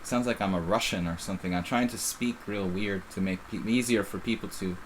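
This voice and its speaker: A middle-aged man with a faint Philadelphia accent and a fairly low voice talks through a chess game as he plays. He rambles casually and breaks off his own sentences to restart them. A faint hiss of noise sits under the voice. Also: a little fast, poor audio. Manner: casual, rambling, self-interrupting. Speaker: chess streamer. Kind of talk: live chess commentary.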